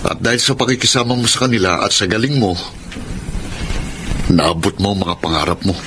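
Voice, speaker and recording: An actor playing a hurt, bitter husband in a Filipino radio drama, with a Filipino accent and a reproachful manner. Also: male voice, fairly low pitch, fairly quick, background noise, lightly acted. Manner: wounded, reproachful. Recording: clear music bed under the voice.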